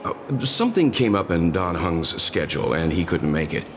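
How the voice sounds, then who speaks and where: An actor voicing a calm, dependable male traveler in a video game, measured and polite. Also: sits low, background noise. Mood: calm, reasonable.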